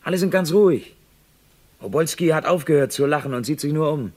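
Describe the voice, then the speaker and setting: A man's voice: fairly high pitch, lively intonation, brisk, a little mellow. An actor playing a brash, gleeful, money-hungry male reporter in a radio crime drama, chummy and conspiratorial. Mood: tense, irritated.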